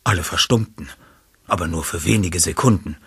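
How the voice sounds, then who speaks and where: A man's voice: sits low, fairly quick, slightly rough, no echo, clean studio sound, full character voice. A man playing a self-assured, eloquent boy detective in an audio drama, speaking precisely and formally. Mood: suspenseful narration. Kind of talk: German audio drama acting and narration.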